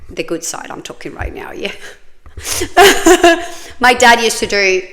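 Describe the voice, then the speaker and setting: Aussie woman chatting about her life, relaxed, lots of ums, medium voice. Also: fairly high pitch, slow. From Australia. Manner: chatty, many fillers, laughs often. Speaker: vlogger telling her life story. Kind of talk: casual personal storytelling.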